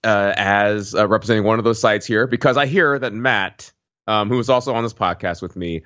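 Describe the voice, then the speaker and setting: A man's voice: lowish voice, brisk, a bit bright, no room sound. Wry male podcast host, slightly muffled. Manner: wry, dry joking. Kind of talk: casual conversational podcast talk.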